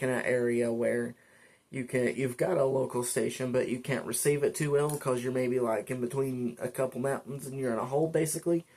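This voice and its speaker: Male vlogger, creaky mid-pitched voice, informal and halting, talking through features one step at a time. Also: a little mellow. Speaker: vlogger reviewing a weather radio. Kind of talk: casual product demonstration, unscripted. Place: indoors at a desk.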